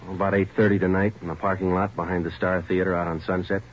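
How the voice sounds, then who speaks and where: Terse detective sergeant, male actor, deep and brisk, clipped delivery, old-time radio crime drama with dull sound. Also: a little hiss or hum, lightly acted. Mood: matter-of-fact. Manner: clipped, deadpan. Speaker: narrator and lead actor.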